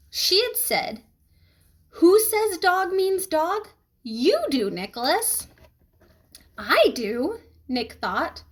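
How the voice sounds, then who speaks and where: A woman reading a children's book aloud in an expressive, emphatic storytelling manner. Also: high-pitched. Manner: animated, emphatic storytelling with questions to listeners.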